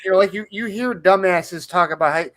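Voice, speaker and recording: A man in casual livestream chat, halting and often repeating the start of his phrases. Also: high voice, a bit bright. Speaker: livestream panelist.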